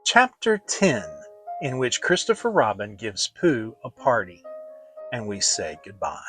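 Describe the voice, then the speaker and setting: A narrator giving a theatrical, expressive dramatic reading of a children's storybook. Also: mid-range voice, measured pace, soft background music. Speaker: audiobook narrator.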